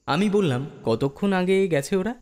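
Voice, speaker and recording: A man's voice: high-pitched, animated, normal speed, a little mellow. A man narrating a ghost story in the first person, in a dramatic storytelling style. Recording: long reverb with music bed. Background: background music.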